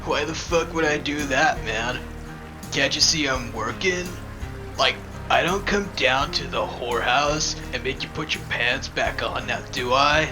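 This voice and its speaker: A man's voice: medium pitch, unhurried, close to an everyday voice. A man playing a mouthy stoner street drug dealer with drawling, slangy speech in an audio drama. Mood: laid-back, pushy. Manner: drawling slang, mocking, says dude constantly. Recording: music behind the voice.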